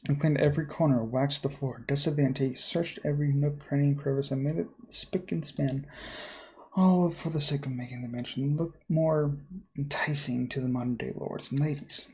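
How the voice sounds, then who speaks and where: A man reading a first-person horror story aloud as a let's player, in a measured, theatrical way. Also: a little high, steady tone, mellow.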